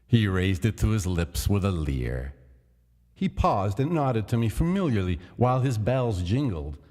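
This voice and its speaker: A man narrating a gothic horror tale in a measured, theatrical reading. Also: lowish voice, big pitch swings, darkish tone. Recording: long hall reverb.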